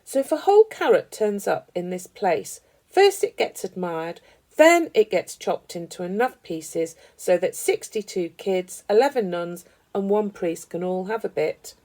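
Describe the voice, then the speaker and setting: A woman reading a children's story aloud with animated, dramatic emphasis. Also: mid-range voice, measured pace. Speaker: storybook reader.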